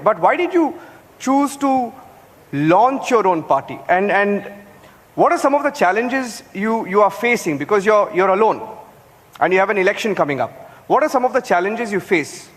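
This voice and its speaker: A man from Karnataka with an Indian accent, a journalist interviewing a guest with stammering restarts. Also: sits high. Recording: echoey hall.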